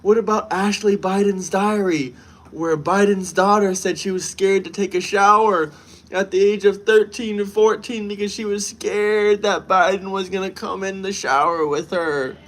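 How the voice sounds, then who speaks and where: A brash man from the United States chats on a live stream with a fairly high voice. He talks emphatically and repeats his points for effect. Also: steady tone, normal speed, fairly bright tone. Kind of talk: casual live-stream chat.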